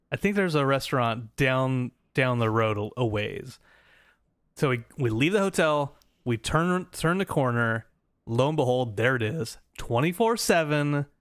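A man's voice: medium pitch, a bit bright, dry recording, clean studio sound. An American man co-hosting a podcast, telling a travel story in a rambling, laughing way with lots of restarts. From United States. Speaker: podcast co-host. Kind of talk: casual storytelling chat.

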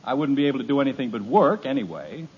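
A man's voice: mid-range voice, big pitch swings, fast talker, slight background noise, lightly acted. An actor playing a harried suburban father who is sarcastic and exasperated, in 1950s radio comedy. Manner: exasperated, wry. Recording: muffled old radio broadcast.

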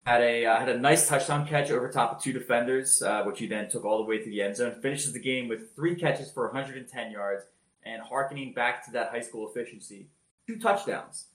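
A man telling football highlights in a casual podcast chat, energetic and loud-voiced. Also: a little fast, reverberant, rough recording. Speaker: podcast co-host. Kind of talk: casual sports recap.

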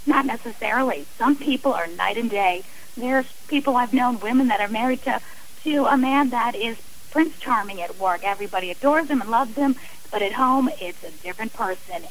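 A woman's voice: fairly high pitch, moderate pace, rough recording. A woman speaking as an interview guest on radio, earnest and emphatic, explaining with examples and repeated phrasing. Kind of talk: radio interview, personal and advisory talk.

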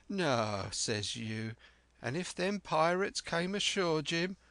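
Ben Gunn, a half-wild old castaway, as a reader puts him on, chatters high and slow in a rough sailor's dialect, his voice leaping up and down. It is a bright, sharp voice, recorded dry and close. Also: no echo, full character voice. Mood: anxious.

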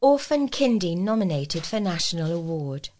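A woman's voice: on the low side, big pitch swings, moderate pace, darkish tone, a little hiss or hum. A woman reading scripted local news stories aloud as a volunteer news reader.

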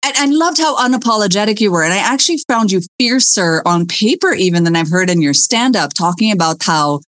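A woman hosts a casual podcast interview, talking bluntly, laughing often and swearing without a second thought. She grew up between the Philippines and the United States. Her voice sits in an ordinary middle pitch, recorded dry and close. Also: expressive, a little fast, a bit bright, no room sound. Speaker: podcast host.

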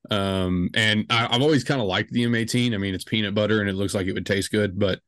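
A man's voice: on the low side, steady tone, fast talker, fairly bright tone, dry recording, studio quality. A man in his twenties hosting a podcast, chatting casually and rambling with lots of fillers and jokes. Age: 20s. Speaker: podcast host.

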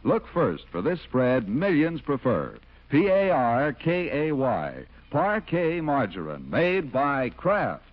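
A man's voice: medium pitch, huge pitch swings, slow, few pauses. A man announcing and narrating a scripted 1940s radio programme. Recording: dull vintage 1940s radio recording.

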